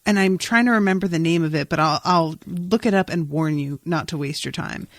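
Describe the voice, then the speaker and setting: A woman hosting a podcast, chatting casually and recapping a story in an informal, expressive, sweary way. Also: lowish voice, fairly quick, dry recording. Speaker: podcast host. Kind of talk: casual chat, recapping a TV episode.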